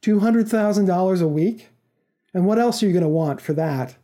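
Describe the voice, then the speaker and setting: A man's voice: high voice, normal speed, dark tone, lightly acted. A man reading the part of a skeptical, wry male job candidate in a story, questioning and sardonic. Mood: incredulous.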